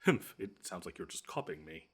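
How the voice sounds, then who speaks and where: Man acting a deep-voiced, haughty bedside monster. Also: very animated, brisk, breathy edge, full character voice. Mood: boastful, taunting. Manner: theatrical, taunting, haughty. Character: smug, menacing, easily flustered monster from under the bed. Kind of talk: scripted one-sided audio roleplay.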